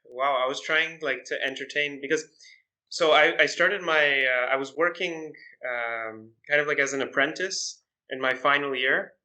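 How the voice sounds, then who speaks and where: A young male podcast guest with a slightly high, bright voice. He tells his stories in a relaxed way, pausing often and restarting his sentences. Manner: relaxed storytelling, hesitant with "uh" and restarts. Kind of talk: casual chat.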